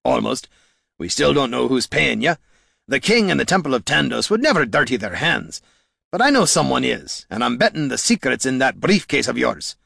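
A man voicing a gruff, shrewd, menacing dwarf adventurer with blunt rustic speech in a fantasy audiobook. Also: mid-range voice, sing-song, normal speed, pushed and bright, no room sound, studio quality, full character voice. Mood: stern, shrewd.

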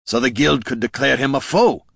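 A man voicing a gruff, urgent male adventurer in a fantasy audiobook. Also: medium pitch, normal speed, fairly bright tone, no echo, clean studio sound, pitched above the performer's own voice. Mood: grim, probing. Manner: gasping, roaring.

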